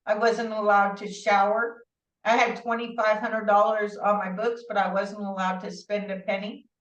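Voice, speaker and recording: A woman guest telling her story in a rambling, animated way, with many asides and restarts, heard through a remote call. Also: medium pitch, moderate pace. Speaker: interview guest. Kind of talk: casual conversational storytelling. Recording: distant, roomy, dull-sounding audio.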